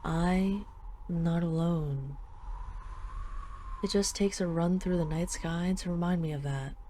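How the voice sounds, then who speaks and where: A woman playing a dragon queen who is tender, gently teasing and possessive in an intimate roleplay audio drama. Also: lowish voice, takes it slowly, a little hiss or hum. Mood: wistful. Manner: affectionate, gently teasing, wistful.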